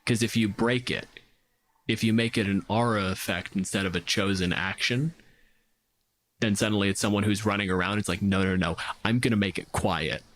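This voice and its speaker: A man with a lowish voice talks in a casual panel discussion on tabletop games. He thinks aloud and works through each idea analytically. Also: steady tone, normal speed, a bit bright.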